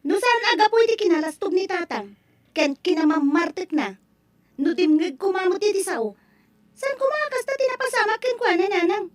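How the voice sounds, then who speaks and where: A woman playing a blunt, resentful young mother complaining sharp-tongued to her parent in an Ilocano drama. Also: very high-pitched, lively intonation, normal speed, far from the mic. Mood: scornful. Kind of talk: Ilocano radio-style drama acting.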